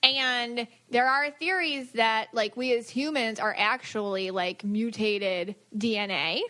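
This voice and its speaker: Woman, fairly high pitch, podcast host, casual chat, informal, talkative. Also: fairly even intonation, takes it slowly, bright, forceful tone. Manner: chatty, informal, frequent "like". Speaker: podcast host, psychic aura reader.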